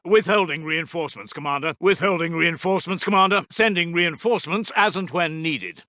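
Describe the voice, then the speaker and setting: British WWII intel officer, high-pitched, forceful, clipped, formal, urgent, dutiful, video game announcer. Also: male voice, gravelly, no room sound, studio quality, full character voice. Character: brisk, dutiful British Second World War intelligence officer. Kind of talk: scripted military game voice lines.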